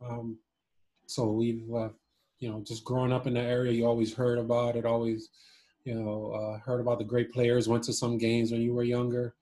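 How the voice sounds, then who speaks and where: Relaxed, even-toned young man. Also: mid-range voice, normal speed. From Cuba and Miami. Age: 20s. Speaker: interview guest, former basketball player. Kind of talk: casual reflective interview chat.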